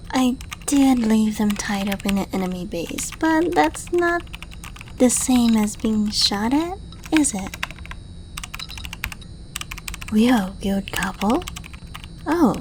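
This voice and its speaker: A woman's voice: medium pitch, background noise, lightly acted. A woman playing a suave, flirtatious secret agent in an ASMR roleplay, speaking to the listener in a playful, polite and coolly menacing way. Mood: playful, amused. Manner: playful, polite, coaxing, unhurried.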